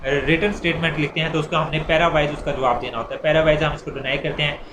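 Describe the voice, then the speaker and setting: A man's voice: fairly high pitch, steady tone, fast talker. A man with a Pakistani accent giving an informal law lecture, explaining step by step with repetition and examples. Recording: echoey room with clear background noise.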